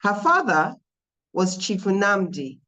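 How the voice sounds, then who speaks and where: A woman speaking formally and deliberately as a speech contestant. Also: medium pitch, sing-song, pushed and bright, lo-fi sound. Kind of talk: prepared storytelling speech. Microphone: video call.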